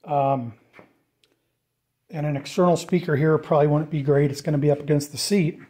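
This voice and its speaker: A man giving a casual, off-the-cuff walkthrough of a DIY project, informal and thinking out loud as he goes. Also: on the high side, fairly even intonation, moderate pace. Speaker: DIY vlogger presenting a build.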